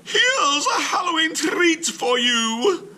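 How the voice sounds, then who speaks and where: A fussy, kindly old woman next door talks in a high, sing-song voice with slow, stretched words, put on by a man. It is a storybook reading with a bright, clear tone. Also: sing-song, a little hiss or hum, full character voice. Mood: urgent, sweet. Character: kindly older woman neighbor, fussy and clever.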